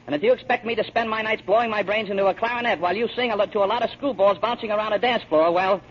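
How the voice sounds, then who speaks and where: Casting: male actor as proud young working man, high voice, fast emphatic talk, muffled forties radio drama. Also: natural voice. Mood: indignant, stubborn. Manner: emphatic, plain-spoken, slangy. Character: hardworking, stubborn young garage mechanic, earnest and proud. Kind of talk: 1940s radio drama acting. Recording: muffled, faintly noisy vintage radio broadcast.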